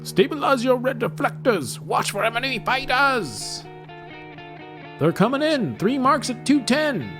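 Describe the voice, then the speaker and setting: A woman's voice: a little high, big pitch swings, unhurried, over music. A woman voicing urgent, clipped rebel fighter pilots in an amateur table read of a film script.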